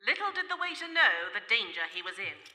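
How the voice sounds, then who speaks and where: An actress playing the wry narrator of a comic radio mystery, measured and mock-dramatic. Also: female voice, mid-range voice, big pitch swings, bright, forceful tone. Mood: mock suspense. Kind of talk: scripted radio play narration. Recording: echoey hall, live stage.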